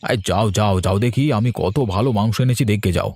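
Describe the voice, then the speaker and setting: A man playing a stingy, grumbling middle-aged village father in a Bengali animated cartoon, talking in a dismissive, complaining way. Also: lowish voice, quick pace, no room sound, lightly acted. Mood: matter-of-fact.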